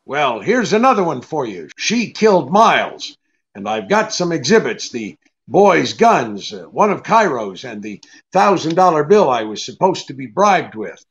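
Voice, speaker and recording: An actor playing a cynical, unyielding private detective in a 1940s-style radio drama, with terse, halting tough talk. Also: male voice, a little high, big pitch swings, lo-fi sound. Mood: dry, sardonic.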